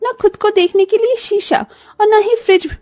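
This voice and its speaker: Woman voicing a high-pitched whiny little orphan girl. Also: steady tone, moderate pace, darkish tone, no echo, soft background music. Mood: whiny, plaintive. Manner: whiny, complaining, pleading. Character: poor orphaned little girl, plaintive younger sister. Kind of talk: Hindi cartoon story voice acting.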